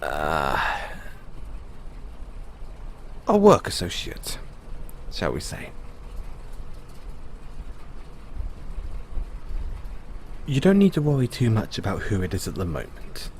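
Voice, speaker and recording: A man playing a thoughtful, kindly owner of an android in an audio roleplay, musing and explaining things to the listener in a measured way. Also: low voice, huge pitch swings, slight background noise, exaggerated voice. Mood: casual, slightly secretive.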